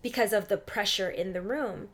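A woman's voice: mid-range voice, moderate pace. A woman speaking as an interview guest in a casual, informal way, explaining things with lots of "like" and "you know" and acting out bits of dialogue. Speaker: interview guest, actress and film industry buyer.

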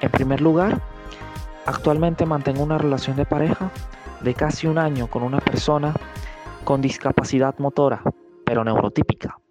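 A Venezuelan man in his mid-twenties speaks at a mid pitch with composed conviction. Soft music sits faintly beneath his recorded message. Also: steady tone, moderate pace. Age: 20s. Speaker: nurse sharing a testimonial. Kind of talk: prepared testimonial message.

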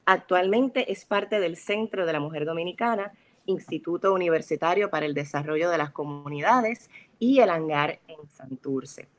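Low-voiced woman host reading bios. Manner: measured, formal reading. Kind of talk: reading guest introductions.

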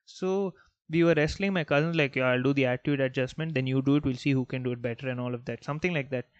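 A young man from Bangalore whose first language is Malayalam, hosting a podcast and telling childhood stories in a relaxed, rambling way full of "like" and "you know". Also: mid-range voice, fast, dry recording, lo-fi sound. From Bangalore, India. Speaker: podcast host. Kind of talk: casual storytelling monologue.

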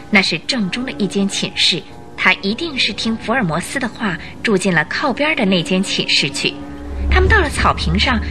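A woman narrating a radio drama, telling the story in an expressive, suspenseful scripted style. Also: mid-range voice.

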